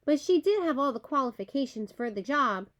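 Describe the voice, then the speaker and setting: A woman narrating a children's story aloud in an expressive storytelling style. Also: high-pitched, brisk.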